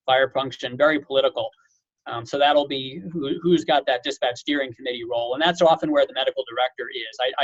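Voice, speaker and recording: A man's voice: medium pitch, dry recording, muffled recording. A man giving an informal webinar lecture as a physician, explaining things conversationally with casual asides. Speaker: physician lecturer, medical director.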